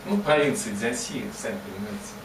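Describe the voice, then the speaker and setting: A man's voice: fairly low pitch, a little fast. A man telling a comic story to an audience in a chatty, digressive and self-mocking way. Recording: roomy, distant mic, ambient noise.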